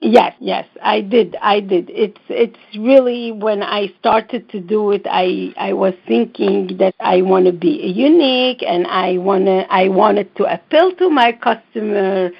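A woman's voice: medium pitch, unhurried, creaky, few pauses, breathy edge. A woman from the Middle East, a fashion designer speaking from home on a call, talking in chatty, non-native English and often laughing. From Middle East, born in Lebanon, raised partly in Israel. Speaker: fashion designer and brand owner, guest. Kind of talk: casual interview chat. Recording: slightly muffled lo-fi audio.

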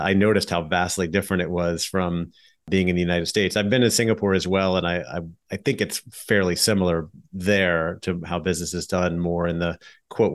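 A man's voice: deep voice, no room sound, studio quality. A man hosting an interview, affirming and encouraging in a conversational way. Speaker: interview host.

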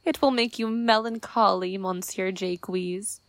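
A woman's voice: mid-range voice, fairly even intonation, a little slow, no room sound, natural voice. A woman playing a modest, obliging singing lord in a Shakespeare radio play, mild and a little reluctant.

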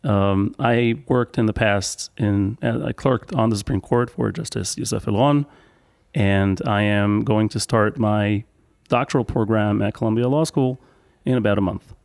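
A man from Israel, a legal advisor co-hosting a podcast, introducing himself in a halting way with pauses and fillers. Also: lowish voice, creaky, a little mellow, no echo, clean studio sound. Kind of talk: casual self-introduction.

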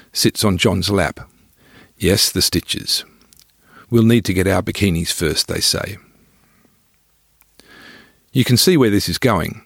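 Male narrator, low gravelly voice, understated dry wit, reading a personal travel story. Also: fairly bright tone, no room sound. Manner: wry, understated, colloquial. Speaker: author narrating own travel memoir. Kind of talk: scripted first-person storytelling.

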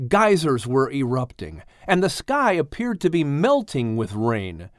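A man's voice: a little high, dry recording, studio quality. A man reading a dramatic story as an audiobook narrator in a scripted storytelling style.

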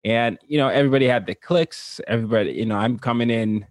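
A man from Nigeria, raised across several countries, speaking as an interview guest in casual, personal storytelling with an animated manner. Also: mid-range voice, quick pace, no room sound, clean studio sound. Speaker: interview guest, cultural translator and consultant.

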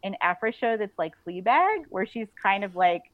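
A woman being interviewed on a casual podcast, telling stories in an animated way and acting out bits of dialogue. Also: mid-range voice, normal speed, dry recording, muffled recording. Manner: animated storytelling, quotes imagined dialogue, giggly. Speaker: author, interview guest.

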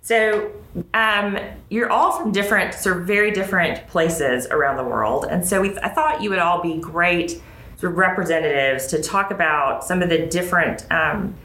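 A woman's voice: fairly low pitch, normal speed, pushed and bright. An American woman hosting a conversational interview podcast as a school dean, recorded in an echoey room. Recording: roomy echo, clear background noise.

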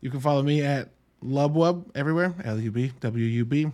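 A man's voice: mid-range voice, fairly quick, a little mellow. A man hosting a casual solo movie review podcast, talking in an informal, slangy, emphatic way with some swearing. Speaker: podcast host.

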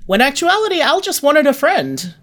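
A man's voice: very high voice, wildly swooping pitch, normal speed, a bit bright. A man explaining things earnestly in a reflective interview, repeating phrases for emphasis. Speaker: podcast guest, audio drama co-creator.

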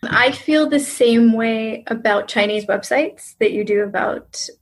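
A woman hosts a relaxed interview, her slightly high voice soft and breathy. She talks in a chatty way and keeps chiming in with quick agreement. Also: poor audio. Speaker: interviewer, host. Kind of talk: casual interview chat.

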